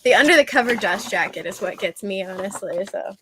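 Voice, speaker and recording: A woman's voice: medium pitch, animated, a little fast, background noise. A woman chatting casually on a group livestream with a bubbly, giggly manner. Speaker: livestream participant. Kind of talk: casual chat.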